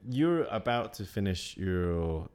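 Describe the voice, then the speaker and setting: A man's voice: deep voice, very animated, slow, darkish tone, clear, resonant tone. A man in his late twenties talking reflectively in a casual chat, rambling a little and laughing often. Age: 20s.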